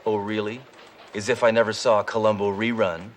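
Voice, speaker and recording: Man playing a gruff wisecracking cop. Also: lowish voice, fairly quick, a little hiss or hum, lightly acted. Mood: sardonic. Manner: gruff, sarcastic, deadpan. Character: tough wisecracking male police detective.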